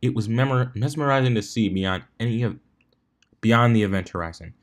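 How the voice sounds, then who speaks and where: Guy reading a fanfic out loud, normal pitch, trips on words and goes back over them. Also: fairly quick. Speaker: fan fiction reader, narrator. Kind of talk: scripted story narration.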